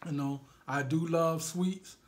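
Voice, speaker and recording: A man from Alabama with a Southern country twang, hoarse from a cold, chatting in a laid-back, rambling way as co-host of a couple's vlog. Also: a little high, fairly even intonation, slow. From Alabama, USA. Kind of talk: casual couple vlog chat.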